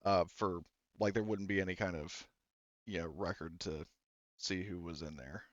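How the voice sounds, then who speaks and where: A man casually improvising as a tabletop game master, explaining haltingly and often restarting his sentences. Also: low voice, fairly even intonation, no echo, highs cut off.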